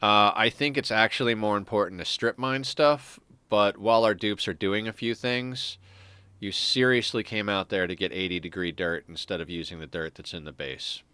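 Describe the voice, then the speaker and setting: A man with a low voice and a stuffy, congested nose talks through a game he is streaming. He mutters his plans half to himself, mocks his own choices and chuckles often. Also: steady tone, normal speed, sharp tone, clear, resonant tone, dry recording. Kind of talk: casual gameplay commentary.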